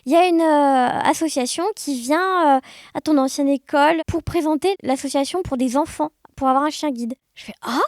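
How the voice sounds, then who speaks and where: High-pitched young woman, expressive. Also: moderate pace, no room sound, studio quality. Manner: animated, expressive, uses sound words. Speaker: podcast guest. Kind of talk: casual conversational storytelling.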